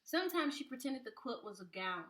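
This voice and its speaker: Bright-voiced woman reading a children's storybook. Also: on the high side, very animated, fairly quick. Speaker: preschool teacher reading aloud. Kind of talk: expressive children's storybook read-aloud.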